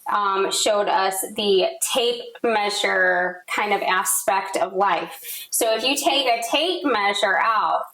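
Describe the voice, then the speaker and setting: A woman's voice: medium pitch, pushed and bright. Chatty forty-something woman, echoey room. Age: 40s. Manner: quick, chatty, finishes others' sentences. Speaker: financial advisor, podcast co-host. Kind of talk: casual podcast discussion.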